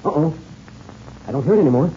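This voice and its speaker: Seasoned, very mellow veteran flying ace played by a man. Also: on the high side, lively intonation, quick pace, natural voice. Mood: assessing. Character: seasoned veteran ace pilot, fatherly and steady. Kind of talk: 1930s radio serial acting. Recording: muffled, noisy old radio recording.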